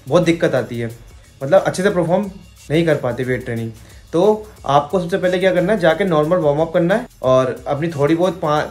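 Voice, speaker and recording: A young man vlogging to camera in a room at home, giving direct, friendly fitness advice. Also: on the high side. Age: young adult. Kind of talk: casual talking-to-camera fitness tips.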